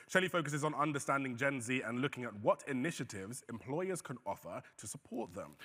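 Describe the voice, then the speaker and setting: A man's voice: mid-range voice, sharp tone. A man hosting a conference who reads out an upbeat introduction of the next speaker. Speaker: event host. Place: conference hall. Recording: long hall reverb.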